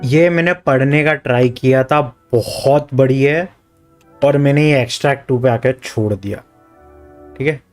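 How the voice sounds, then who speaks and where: Quick Indian man streaming a game. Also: mid-range voice. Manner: switches casually between commentary and read-out text. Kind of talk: live game commentary, reading dialogue aloud. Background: game music.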